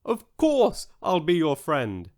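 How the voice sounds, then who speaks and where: A man's voice: a little high, huge pitch swings, takes it slowly, a little mellow, heavily acted. A man voicing a wise, friendly pet monkey in a storybook, speaking politely and earnestly. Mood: grateful, friendly.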